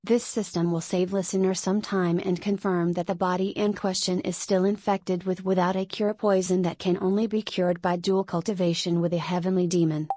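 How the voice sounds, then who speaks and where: Woman doing a flat, low officious system voice. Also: moderate pace, few pauses, no room sound, clean studio sound, natural voice. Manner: flat, formal announcement. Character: impersonal game-like system voice, matter-of-fact and officious.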